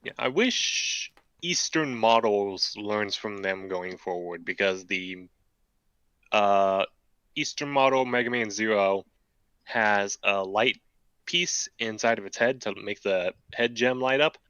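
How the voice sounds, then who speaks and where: Low, bright-voiced man, casual builder chat. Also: slow pace, smooth, dry recording, highs cut off. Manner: relaxed, joking, thinks aloud with fillers. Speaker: model kit builder chatting on stream.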